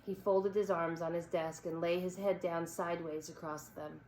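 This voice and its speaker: A woman reading a children's story aloud as an expressive narrator. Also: lowish voice, normal speed. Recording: echoey room with background noise.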